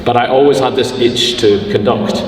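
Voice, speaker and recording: A middle-aged Scottish conductor telling his story in an interview, self-deprecating and halting with restarts. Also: medium pitch, steady tone, slight background noise. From Scotland. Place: concert hall stage. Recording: echoey hall, from a distance.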